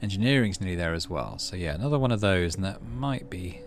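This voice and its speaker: A man's voice: deep voice, lively intonation, fast, a little hiss or hum. Casual man thinking aloud over a game. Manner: thinks aloud, hesitant fillers. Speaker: let's play host.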